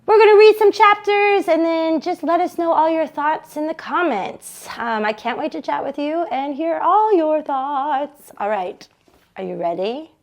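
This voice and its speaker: Higher-voiced woman reading a novel aloud. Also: lively intonation, measured pace. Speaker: book club read-along host for a bookstore.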